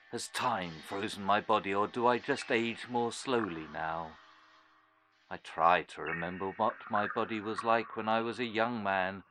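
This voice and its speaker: An actor playing an immortal former sea captain turned cold cultist in a horror audio drama, speaking in a brooding, deliberate monologue. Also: male voice, fairly low pitch, a little hiss or hum, low-quality recording. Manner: brooding, deliberate, trailing into quiet phrases.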